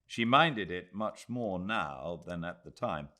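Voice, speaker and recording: British guy reading a classic novel aloud, really low voice, takes his time, expressive storyteller. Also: slow. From Britain. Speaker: audiobook narrator. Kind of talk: literary scripted narration.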